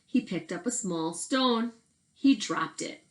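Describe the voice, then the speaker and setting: A woman's voice: mid-range voice, lively intonation, takes it slowly. A middle-aged woman chatting casually and reading a storybook to children in a conversational way. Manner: conversational, addressing young listeners with questions. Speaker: read-aloud storyteller for children. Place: indoors in a bedroom at home.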